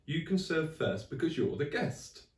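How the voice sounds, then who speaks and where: A man voicing an eccentric, absent-minded, excitable adventurer in a dramatic storybook style. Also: on the high side, a little slow, far from the mic, pitched above the performer's own voice. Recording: roomy echo, away from mic.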